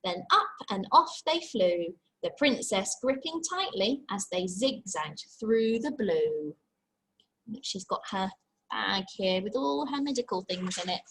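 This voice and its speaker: A woman's voice: medium pitch, very animated, a little slow, a bit bright, low-quality recording. A woman teacher reading a picture book aloud to young children, expressively, with simple chatty asides and questions. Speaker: infant school teacher.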